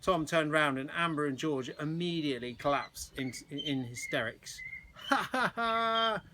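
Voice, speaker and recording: A man's voice: on the high side, normal speed, bright, forceful tone. Man reading a storybook, birdsong behind. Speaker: primary school teacher reading aloud. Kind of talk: children's storybook narration. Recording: noisy outdoor recording with birdsong. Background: birds chirping.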